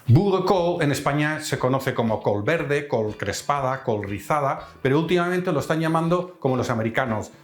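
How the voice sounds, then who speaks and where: Male cooking host, animated delivery over faint music. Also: fairly high pitch, normal speed, hardly any pauses. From Netherlands. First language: Dutch.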